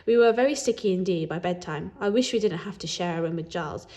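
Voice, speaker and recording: Expressive woman reading a children's story. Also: lowish voice, fast talker. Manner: animated, acts out dialogue. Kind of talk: expressive read-aloud of a children's book.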